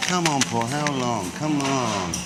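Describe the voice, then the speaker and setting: A man coaching someone in a busy kitchen, brisk and urging, repeating his commands. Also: mid-range voice, expressive, heavy background noise. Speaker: head chef coaching a contestant. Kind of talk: kitchen instruction.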